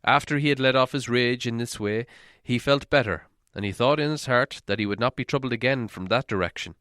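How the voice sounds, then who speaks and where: A man reading an audiobook as a dramatic storyteller, expressive and theatrical. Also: medium pitch, fairly quick, clean tone, no room sound, studio quality. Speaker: audiobook reader.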